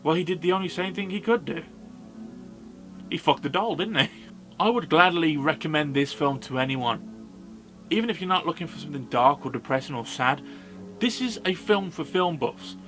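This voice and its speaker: A man's voice: fairly high pitch, normal speed, a bit bright. A man giving a casual movie review as a video host, in a blunt, sweary, informal manner. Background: background music.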